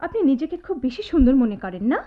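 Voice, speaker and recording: A woman's voice: high-pitched, very animated, racing. Feisty young student girl, swoopy taunting voice, radio drama, thin phone-like sound. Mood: indignant, taunting. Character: spirited, sharp-tongued young woman student, teasing and proud. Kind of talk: Bengali radio drama acting. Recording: tinny phone-like radio drama audio, music behind.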